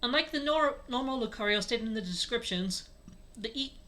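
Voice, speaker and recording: A woman's voice: a little high, lively intonation, normal speed, sharp tone. A woman narrating a story aloud as a co-host, with casual asides. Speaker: co-host reading fan fiction aloud.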